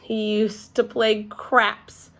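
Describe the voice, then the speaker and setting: A woman's voice: mid-range voice, level tone, very slow, a bit bright, voice pushed up. A woman voicing an eager little schoolboy who overshares in a blunt, matter-of-fact way in a storybook. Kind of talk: children's storybook read-aloud.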